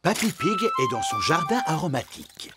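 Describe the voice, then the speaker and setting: A woman's voice: low-pitched, big pitch swings, moderate pace, over loud music. A woman narrating a children's cartoon in scripted storybook style.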